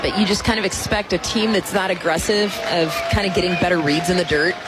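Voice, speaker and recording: An American woman giving conversational live analysis as the color commentator on a softball radio broadcast. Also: on the low side, fairly even intonation, fast talker, background noise. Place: outdoor softball stadium. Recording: loud crowd and music, outdoor stadium broadcast. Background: loud crowd and music.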